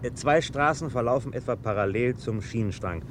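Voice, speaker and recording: An actor playing an eager, confident police sidekick with a keen, chatty manner in a radio crime drama. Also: male voice, mid-range voice, brisk, lightly acted. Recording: clear car noise behind.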